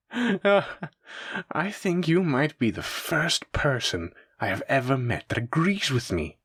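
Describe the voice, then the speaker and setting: A man's voice: on the high side, sing-song, takes it slowly, a bit bright, husky, no echo, studio quality, heavily acted. A man playing a suave, menacing mafia boss in a roleplay audio drama, with a theatrical, teasing and condescending manner. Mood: smug, calm menace. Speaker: voice actor. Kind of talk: scripted roleplay audio drama addressed to listener.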